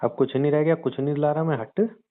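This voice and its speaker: A man's voice: on the high side, a little fast. A young man teasing his girlfriend sarcastically on a phone call while adding up her shopping sums. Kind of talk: teasing scripted phone banter.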